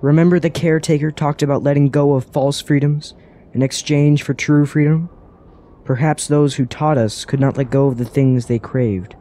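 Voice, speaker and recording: An actor playing a cautious, earnest traveler in a fantasy radio drama, speaking formally and gravely. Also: male voice, a little high, fairly even intonation, warm tone, close to an everyday voice. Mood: grave, reflective. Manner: formal, grave, measured phrasing. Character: cautious, earnest male traveler wary of dark woods.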